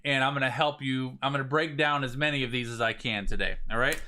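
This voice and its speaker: A man, slightly raspy today, coaching viewers on a live stream in an energetic way, often restarting his phrases. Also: mid-range voice, quick pace. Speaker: business coach.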